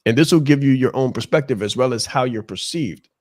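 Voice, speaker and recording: A man with a naturally loud, projecting voice, coaching on a video call with passion and emphatic repetition. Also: mid-range voice, a little fast, no echo, studio quality. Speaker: leadership coach. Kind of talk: motivational talk on a live show.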